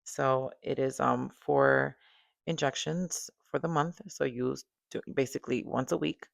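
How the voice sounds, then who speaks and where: A woman's voice: a bass voice, fairly even intonation, a little slow, vocal fry, no echo, clean studio sound. A woman with a Dominican accent, hosting a podcast and chatting candidly about her own experience, with lots of fillers and asides. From Dominican background. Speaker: podcast host. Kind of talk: casual personal talk to camera.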